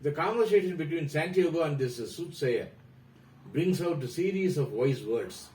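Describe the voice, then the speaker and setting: A man with an Indian accent, presenting a prepared talk about a novel in a formal, measured way that trails off at the ends of phrases. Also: a little high, expressive, a little mellow, reverberant, recorded from a distance, poor audio. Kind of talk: reading a prepared literary talk.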